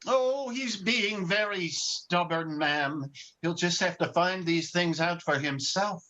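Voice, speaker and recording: A man as a high-voiced, unhurried old angel. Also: pushed and bright, heavily acted. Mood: sheepish. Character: gentle, earnest, elderly male guardian angel. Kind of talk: 1940s radio drama acting. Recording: dull video-call audio.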